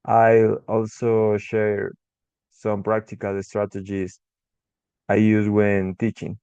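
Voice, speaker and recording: A young man with an Ecuadorian accent talks slowly through a class presentation, stopping to restart words and fill gaps with "uh." His low voice stays flat and smooth. The recording is dry and a little muffled. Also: no room sound. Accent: Ecuadorian Spanish. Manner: halting, frequent restarts and fillers. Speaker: English tutor.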